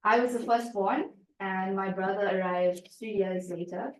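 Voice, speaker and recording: A woman's voice: medium pitch, a little slow, far from the mic, slight background noise. A woman delivers a prepared speech, telling her story and putting questions to the audience. She sounds set back from the mic in an echoey room. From South Africa. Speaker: club speaker.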